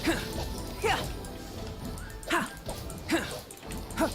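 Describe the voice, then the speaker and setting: A young fantasy game fighter with a high, very animated voice. She delivers battle cries slowly and with determination, while music plays behind her. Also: full character voice. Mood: determined, battle-ready. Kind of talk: video game battle barks.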